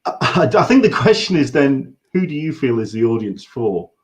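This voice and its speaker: A man's voice: mid-range voice, big pitch swings, moderate pace. An English man hosting a casual interview, circling hesitantly around his questions. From England. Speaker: interview host.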